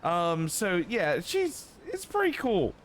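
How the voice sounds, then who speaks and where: A man's voice: high voice, huge pitch swings, takes it slowly, fairly bright tone. A man streaming a game and chatting casually in a rambling, informal way. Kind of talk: casual livestream chat. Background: faint music.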